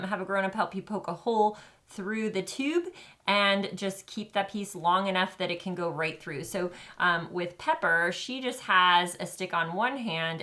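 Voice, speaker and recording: A woman with a bright, forward voice explains crafts in a friendly, encouraging way. She co-hosts the show and talks casually to young viewers. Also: on the low side. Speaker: co-host. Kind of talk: casual how-to chat.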